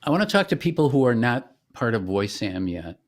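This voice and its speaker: A man explaining software step by step in a conversational, informal product demonstration. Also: medium pitch, very animated, brisk.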